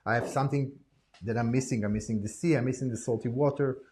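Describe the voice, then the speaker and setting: A man's voice: medium pitch, a little hiss or hum. Israeli-born man chatting casually. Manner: relaxed, repeats "yeah, yeah, yeah", halting with many fillers. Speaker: podcast guest. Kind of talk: casual chat.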